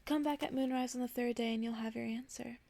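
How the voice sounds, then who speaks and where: Hushed, creaky, aloof witch read by a woman. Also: fairly high pitch, fairly even intonation, fast talker. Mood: curt, aloof. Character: aloof, terse female cliff-dwelling witch oracle.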